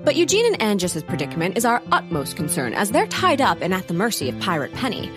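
Lively, wry storyteller. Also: female voice, mid-range voice, fast talker, over music. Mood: wry, dramatic. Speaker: narrator. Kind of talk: scripted comic story narration.

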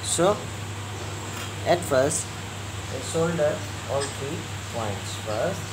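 Slow, halting man giving repair instructions. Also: on the high side. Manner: halting, repetitive, instructional delivery in short phrases. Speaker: repair technician and channel host. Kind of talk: step-by-step hands-on repair tutorial. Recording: clear steady hum of room noise.

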